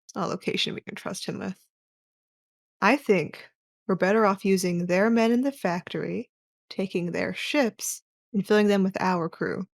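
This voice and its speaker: A woman's voice: medium pitch, moderate pace, gravelly, no echo, clean studio sound. A woman playing a cool, ruthless agent in an audio drama, clipped, businesslike and condescending.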